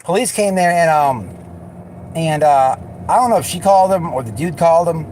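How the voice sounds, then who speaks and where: A man's voice: a little high, expressive, normal speed, a little mellow. A man hosting a casual livestream, talking in a blunt, slangy, profane way. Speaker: livestream host. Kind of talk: casual livestream chat and commentary. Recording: noisy recording with car sounds.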